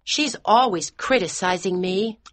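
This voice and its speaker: A man's voice: high-pitched, unhurried, a bit bright, pitched above the performer's own voice. A man voicing a blunt, cheeky young American boy in a storybook narration, with a childish, insistent manner. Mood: boastful.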